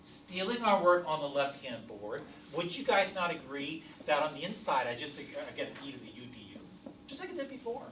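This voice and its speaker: A man whose first language is French teaches a math lecture, and his pitch swings up and down as he quizzes the class. He is recorded from a distance in an echoey classroom. Steady noise runs under his voice. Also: brisk. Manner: lively, playful teacher asking the class questions. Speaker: math instructor.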